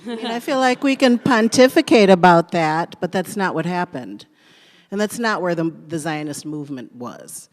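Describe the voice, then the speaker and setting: A woman on a panel answers directly and firmly, brushing a hypothetical aside. Her voice sits somewhat low and rings out in a long-reverb lecture hall. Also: a little mellow. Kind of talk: panel discussion.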